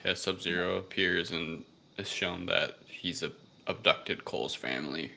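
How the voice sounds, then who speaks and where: A man with a low, bright-edged voice chats as a podcast co-host. He phrases things loosely and informally. There is faint noise behind him. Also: unhurried, vocal fry. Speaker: podcast co-host reviewing films. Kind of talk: casual chat recap.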